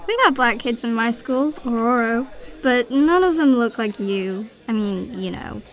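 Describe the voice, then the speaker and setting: A woman's voice: on the high side, a little slow, low-quality recording. A woman playing a curious, candid young teenage girl who speaks in a casual youthful way in an audio drama. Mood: eager, candid.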